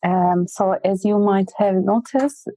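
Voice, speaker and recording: Turkish-accented woman, mellow and hesitant. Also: mid-range voice, steady tone, slow pace, no echo, rough recording. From Turkey. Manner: hesitant, frequent fillers, light laughter. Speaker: research guest. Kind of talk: interview answers.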